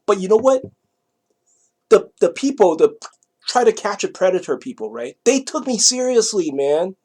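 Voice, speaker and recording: A man's voice: high-pitched, sing-song, a little mellow. Middle-aged man with a Taiwanese accent. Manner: repetitive, halting, often checking with the listener. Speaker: vlogger telling a personal story. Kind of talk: casual rambling storytelling.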